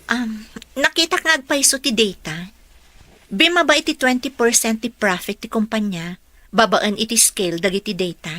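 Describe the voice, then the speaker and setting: An eager young office hopeful in a radio drama, with a high, bright voice. She is polite and respectful, speaks with a Filipino accent and sounds close and dry. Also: normal speed, dry recording. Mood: earnest, respectful. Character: eager, polite young woman graduate seeking office work. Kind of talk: Ilocano radio drama acting.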